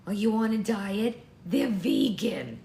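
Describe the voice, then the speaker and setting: A woman voicing a kindly, inquisitive little old grandmother in a story reading, with slow, gentle delivery. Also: mid-range voice, big pitch swings, gravelly, pitched above the performer's own voice, heavily acted. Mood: friendly, offering. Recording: roomy echo, faint background noise.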